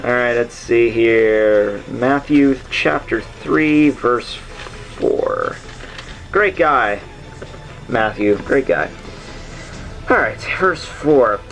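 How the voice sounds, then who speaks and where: A man's voice: medium pitch, expressive, extremely slow, pauses often, fairly bright tone. A man giving a casual, unscripted explanation as host, rambling and dropping jokey asides. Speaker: host of a literature summary series. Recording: music playing under the voice. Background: background soundtrack music.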